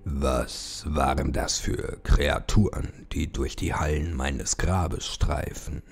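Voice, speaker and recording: A man voicing the solemn ghost of an ancient pharaoh in a horror audiobook, slow and stately, deep and imposing. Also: fairly even intonation, vocal fry, soft background music, heavily acted. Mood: stern questioning.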